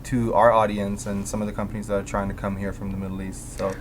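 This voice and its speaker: A male interview host with a fairly low voice asks loose, rambling questions packed with fillers. There is faint noise behind him in the recording. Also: level tone, fast talker. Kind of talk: casual interview hosting.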